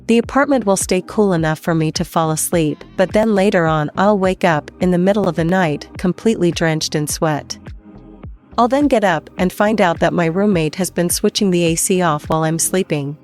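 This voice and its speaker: A woman reading an online post aloud as scripted first-person narration, in an even, measured manner. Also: on the low side, soft background music. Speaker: story narrator.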